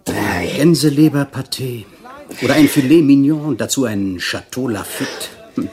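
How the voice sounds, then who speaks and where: A man's voice: mid-range voice, measured pace, darkish tone, close to an everyday voice. An actor playing a vain, pampered con man in prison in a radio play, speaking in an affected, genteel and self-pitying way. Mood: pampered complaining.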